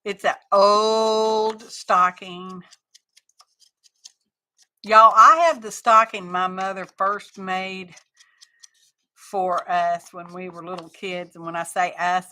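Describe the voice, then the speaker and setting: Middle-aged woman, unhurried chatty craft host, bright edge to the voice. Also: fairly low pitch, fairly even intonation, slight background noise. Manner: chatty, rambling, talks to chat viewers. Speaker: craft live-stream host. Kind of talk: casual chat while crafting on a live stream.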